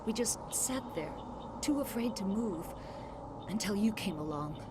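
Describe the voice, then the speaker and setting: A woman's voice: mid-range voice, expressive, unhurried, very noisy, natural voice. A woman voicing a shaken middle-aged female lead scientist who explains things earnestly and apologetically.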